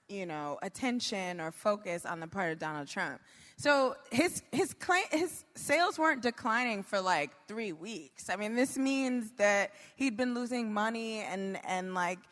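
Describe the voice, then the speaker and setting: Female panelist, average pitch, opinionated and emphatic, casual phrasing, recorded live in an echoey hall. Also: a bit bright. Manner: emphatic, indignant, blunt. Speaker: comedian panelist. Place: stage in a live venue.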